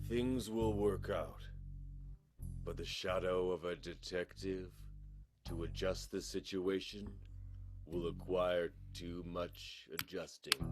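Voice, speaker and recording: A man playing a scheming theater accountant, brooding and ominous, in an improvised radio play. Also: deep voice. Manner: slow, brooding, noir-like.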